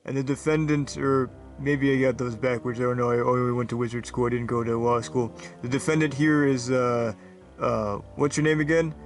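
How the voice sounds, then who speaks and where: Guy doing a clueless wizard judge, slow and rambly, scattered. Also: medium pitch, little pitch movement, soft background music, lightly acted. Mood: pompous. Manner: slow, rambling, absent-minded. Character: bumbling middle-aged male judge who is also a wizard. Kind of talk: comedic brickfilm voice acting.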